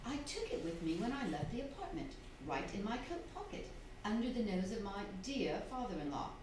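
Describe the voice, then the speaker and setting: An actress playing a young, unhappily married widow in a stage radio drama, speaking emphatically with dramatic pauses. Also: female voice, mid-range voice, big pitch swings, natural voice. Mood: cool, firm. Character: young unhappy widow, cool and composed, pleading when cornered. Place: theatre stage, live performance. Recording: very echoey hall, distant mic, faint noise.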